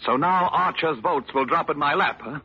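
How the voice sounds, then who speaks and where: An actor playing a sardonic, menacing crippled politician in a 1940s radio drama, mockingly polite and theatrical. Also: male voice, on the high side, normal speed, pushed and bright, husky, no room sound. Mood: menacing glee. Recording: muffled, noisy old radio recording.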